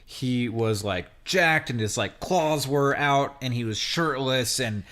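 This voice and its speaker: A man co-hosting a podcast in casual, joking chat, talking in a halting way with rephrasings. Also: medium pitch, a bit bright. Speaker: podcast co-host.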